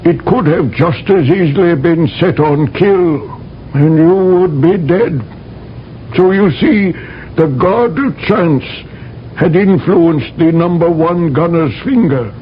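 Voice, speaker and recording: An actor playing a solemn, fatalistic male alien physician, speaking in a measured, formal way in a 1970s radio drama. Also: on the high side, a little hiss or hum. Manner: measured, formal, slow speech. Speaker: physician third class. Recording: dull old radio broadcast.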